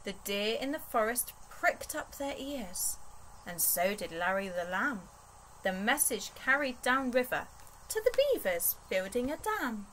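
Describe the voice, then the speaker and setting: A young woman reading a rhyming picture book aloud to small children in an expressive storytelling manner. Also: fairly high pitch, a little slow, slight background noise, low-quality recording. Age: young adult. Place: small bedroom, indoors.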